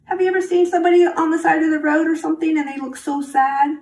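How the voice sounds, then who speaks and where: A woman's voice: high-pitched, level tone, fast talker, darkish tone. A woman reading a picture book aloud to children, speaking simply and encouragingly and addressing young listeners directly. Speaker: children's story reader. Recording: roomy, distant mic, faint background noise.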